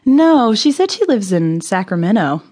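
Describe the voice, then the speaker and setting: An actress playing a breezy, irreverent amateur sleuth who loves gossip, wry and chatty in an audio drama. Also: female voice, mid-range voice, sing-song, normal speed, a little mellow.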